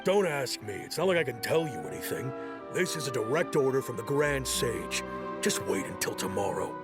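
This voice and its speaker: A man's voice: medium pitch, lively intonation, normal speed, vocal fry. An actor playing a grumpy city guard in a fantasy game, curt, irritable and weary of his post. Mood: curt, dismissive. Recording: faint music behind the voice.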